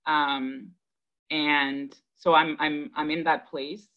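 A woman in her thirties, from the Dominican Republic and raised in Boston, telling her personal story to an online audience in an earnest, measured, thoughtful way. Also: low-pitched, little pitch movement. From Dominican Republic, raised in Boston from age five. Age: 30s. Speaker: guest speaker, education advocate. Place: indoors on a video call. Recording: dull, lo-fi video call audio.

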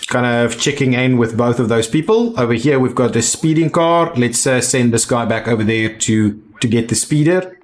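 A man giving casual video game commentary, thinking aloud in a halting way as he plays. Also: mid-range voice. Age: adult. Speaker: gaming video host.

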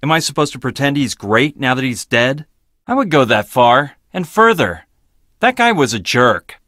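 An actor playing an arrogant, blunt, logical research scientist in a video game, with a clipped, dismissive, pedantic delivery. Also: male voice, medium pitch, sing-song, normal speed, a bit bright, dry recording, clean studio sound. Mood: dry, matter-of-fact.

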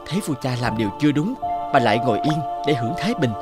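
An actor playing an upright, loving young court official and husband in a cartoon folk tale, speaking earnestly and formally. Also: male voice, mid-range voice, lively intonation, rapid-fire, over loud music, close to an everyday voice. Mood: troubled. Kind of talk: animated folk-tale dialogue.

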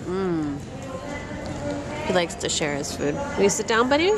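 A young woman filming her toddler at an airport gate, switching between high sing-song baby talk and calm asides to the camera. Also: a little slow, over music. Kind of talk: casual home video narration. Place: busy airport gate area, indoors. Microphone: handheld camera mic. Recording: noisy handheld camera audio in an airport. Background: airport noise and announcements.

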